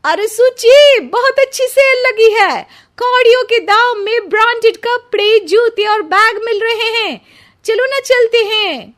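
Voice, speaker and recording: A woman voicing an excitable, chirpy young female friend in a cartoon story. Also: very high voice, slow, pitched above the performer's own voice, full character voice. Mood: excited.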